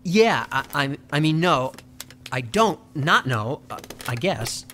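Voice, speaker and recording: A man's voice: on the high side, sing-song, slow, background noise. An actor playing a reluctant, flustered young man as a witness, hesitant and stammering, in a comic audio drama. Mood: confused.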